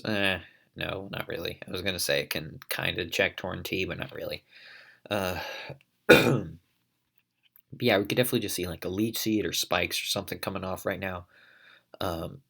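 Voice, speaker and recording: A man's voice: low voice, creaky, a bit bright. A man commentating a competitive online battle in a casual play-by-play, congested from allergies, coughing and thinking aloud as he goes. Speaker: league commentator.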